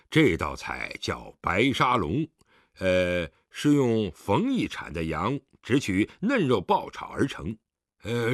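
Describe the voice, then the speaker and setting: Casting: talkative male cook , done by a narrator, halting and drawn-out, lively pitch, dry studio sound. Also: dry recording. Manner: long-winded, halting with hesitations. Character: chatty servant cook named Old Zhang, eagerly explaining dishes.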